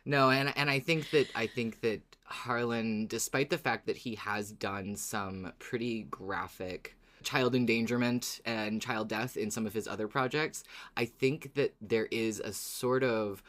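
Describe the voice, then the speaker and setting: A podcast co-host chatting casually about a favourite show in an animated, enthusiastic way, swearing freely. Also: medium pitch, a little slow. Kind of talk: casual fan discussion chat.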